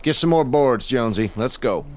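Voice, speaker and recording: An actor playing a curt, dutiful sheriff's deputy in a radio drama. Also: male voice, mid-range voice, lively intonation, moderate pace, slight background noise, natural voice. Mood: businesslike.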